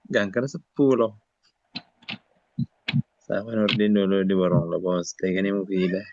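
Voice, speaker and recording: A man's voice: low-pitched, steady tone, moderate pace, darkish tone. A man hosting a casual live stream, loosely greeting viewers as they join. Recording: dry, muffled lo-fi audio.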